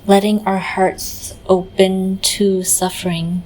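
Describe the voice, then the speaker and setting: A woman leading a guided meditation in a gentle, unhurried manner, often pausing in the middle of phrases. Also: mid-range voice, level tone, low-quality recording. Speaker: meditation teacher.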